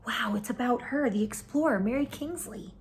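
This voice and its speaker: A woman's voice: a little high, normal speed, gravelly, a little hiss or hum, voice pushed up. A woman voicing a mischievous, eager second-grade girl in playful, exclaiming child talk for a storybook. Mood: amazed.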